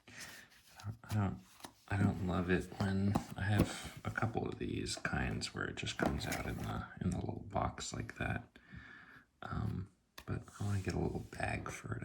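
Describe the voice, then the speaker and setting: A soft-spoken man giving a casual, rambling review in an ASMR style, musing in a hesitant, unscripted way. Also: sits low. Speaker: tarot deck reviewer. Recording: clearly noisy, clicks and tapping.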